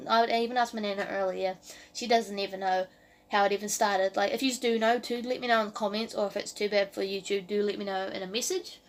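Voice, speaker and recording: A woman in her early twenties with a New Zealand accent, talking casually to camera as a vlogger in a rambling way full of "like" and "um". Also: mid-range voice. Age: early 20s.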